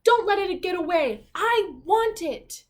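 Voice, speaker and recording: A woman's voice: extremely high pitch, animated, unhurried, a little mellow, voice pushed up. A woman voicing a spoiled, demanding young storybook princess in an imperious, exclaiming manner. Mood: greedy, demanding.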